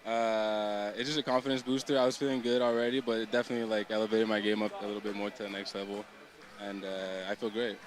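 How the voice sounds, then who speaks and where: A young male baseball player from Montreal, speaking softly and a bit hesitantly in a casual fieldside interview at an outdoor ballpark. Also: fairly low pitch, fast talker. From Montreal, Canada. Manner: relaxed, soft-spoken, hesitant with fillers. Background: crowd noise.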